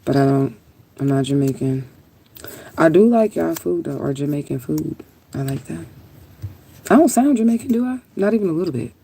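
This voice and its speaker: A woman's voice: low voice, animated, moderate pace, long pauses, dark tone, slight background noise. A woman chatting casually on a livestream and answering viewers in a rambling, laid-back, sometimes profane way. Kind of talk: casual live chat, answering viewer comments.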